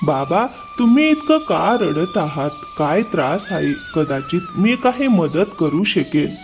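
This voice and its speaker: Man acting a kind, animated-sounding earnest husband. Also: high voice, measured pace, heavily acted. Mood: concerned. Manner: earnest, gentle, concerned. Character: kind, earnest poor husband, compassionate and selfless. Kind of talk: animated moral story acting. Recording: music behind the voice.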